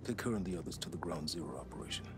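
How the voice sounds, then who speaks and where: An actor playing a middle-aged corporate enforcer, calm and menacing, with measured, controlled delivery. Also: male voice, sits low, natural voice. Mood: cold menace. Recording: music behind the dialogue.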